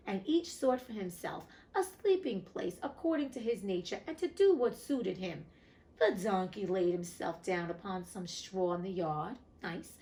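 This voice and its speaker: A woman's voice: medium pitch, pushed and bright, a little hiss or hum, rough recording. A woman reading a classic fairy tale aloud, breaking off for chatty, irreverent asides with casual swearing. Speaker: host reading classic stories.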